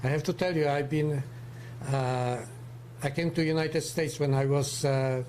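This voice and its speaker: Man, 70s, strong Polish accent, hesitant and searching for words, mellow, faint background hum. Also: a little high, steady tone. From Poland. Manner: halting, searching for English words. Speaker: co-host. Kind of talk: casual livestream talk.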